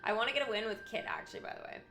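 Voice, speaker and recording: Bright voiced girl streamer, chatty n excitable, reads stuff out then comments to chat, music in the background. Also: fairly high pitch, very fast. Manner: chatty, excitable, breaking into asides to viewers. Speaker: live streamer. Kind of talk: reading aloud character profiles with casual commentary.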